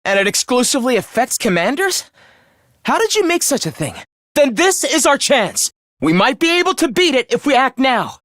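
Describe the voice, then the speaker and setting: A man's voice: very high voice, sing-song, normal speed, a bit bright, no echo, clean studio sound, exaggerated voice. A man playing a young student in a video game, earnest on the surface and a cold, misanthropic killer beneath, theatrical and articulate. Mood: earnest, concerned. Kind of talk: dramatic anime-style video game voice acting.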